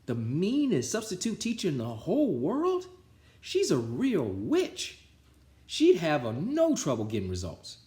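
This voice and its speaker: A man voicing excitable, mischievous schoolkids from a children's storybook. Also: on the high side, measured pace, voice pushed up. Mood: excited. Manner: exclaiming, eager. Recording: roomy, echoing sound.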